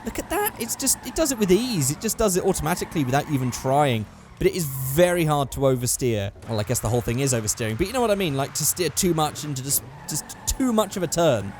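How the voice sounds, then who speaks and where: A man with a hoarse, worn-out voice giving casual commentary over a driving game, getting excitable at close calls. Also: on the high side, fast talker, slight background noise. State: hoarse, losing his voice. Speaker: gaming channel host.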